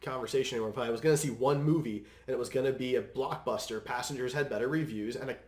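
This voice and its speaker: A man's voice: mid-range voice, fast talker, a little mellow. A man co-hosting a podcast, casually explaining his opinions on movies in an opinionated way. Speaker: podcast co-host. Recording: echoey room.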